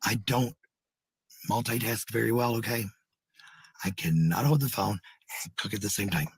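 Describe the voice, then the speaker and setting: A woman with a very raspy, unclear voice talks casually to her followers. She is defensive and blunt, and she swears freely. The sound is dry, with little room to it. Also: extremely low pitch, moderate pace, no echo. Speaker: livestreamer.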